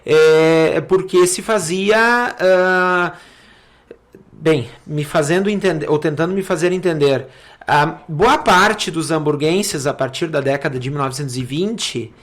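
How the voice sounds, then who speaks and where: A man with a southern Brazilian accent from Rio Grande do Sul explains things conversationally as an interview guest, haltingly, repeating words and often checking for agreement. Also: a little high. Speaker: podcast guest, city councillor.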